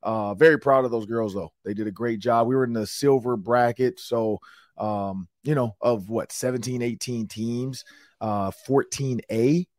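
A middle-aged man hosting a sports podcast, talking casually in a rambling way, his voice a little raspy from shouting. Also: mid-range voice, measured pace, no room sound, clean studio sound. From Minnesota, US. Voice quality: slightly raspy. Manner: chatty, rambling with fillers and restarts. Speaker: podcast host.

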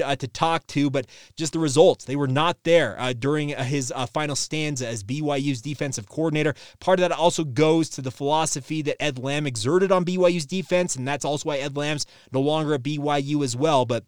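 Clean, higher-voiced man hosting sports podcast. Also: fairly quick, no echo, clean studio sound. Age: 30s. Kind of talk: solo sports news commentary, conversational.

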